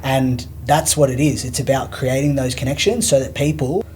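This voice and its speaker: A man of middle age speaks as a teacher. His pitch sits in the middle and his talk is earnest and full of fillers. Faint noise hums behind him. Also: steady tone, moderate pace, darkish tone. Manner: earnest, rambling with many fillers. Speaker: work experience teacher. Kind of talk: casual conversation.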